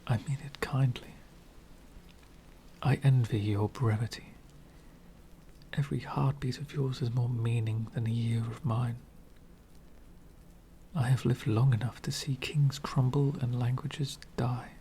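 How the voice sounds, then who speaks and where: A man playing an elegant, centuries-old vampire gentleman, speaking softly and half whispering in a refined, wistful ASMR roleplay. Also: mid-range voice, fairly even intonation, slight background noise, lightly acted. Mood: gently probing. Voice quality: soft, breathy whispering. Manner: refined, wistful, slow and deliberate.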